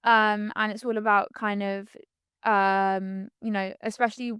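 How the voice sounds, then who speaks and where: A woman's voice: medium pitch, level tone, unhurried, a bit bright, clear, resonant tone, no room sound. A woman, talking reflectively as a podcast guest, full of likes and you-knows. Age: 20s. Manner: chatty, reflective, many "like"s and "you know"s. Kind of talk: casual conversational interview.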